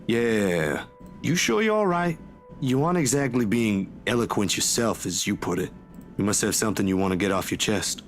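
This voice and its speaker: A man's voice: on the low side, expressive, moderate pace. An actor playing an easygoing, bashful young male friend in a visual novel game, talking in an informal, self-deprecating way. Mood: gently concerned. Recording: music behind the voice. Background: music.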